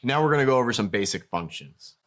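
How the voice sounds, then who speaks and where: A man's voice: medium pitch, lively intonation, quick pace, fairly bright tone. A man narrating a scripted product tutorial, explaining each step plainly as a demonstrator.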